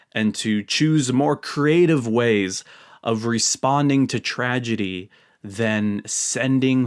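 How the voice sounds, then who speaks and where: Low-pitched man giving earnest commentary. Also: vocal fry. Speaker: video host and commentator. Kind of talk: reflective explanatory commentary to viewers.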